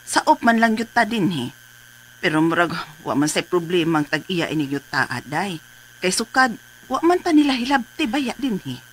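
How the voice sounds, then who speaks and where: A woman's voice: on the low side, sing-song, normal speed, a little hiss or hum, poor audio. An actress playing a cautious, peace-keeping older farmer's wife and mother in a radio drama, with a Cebuano Filipino accent. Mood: cautious, explaining. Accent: Filipino, Cebuano-speaking.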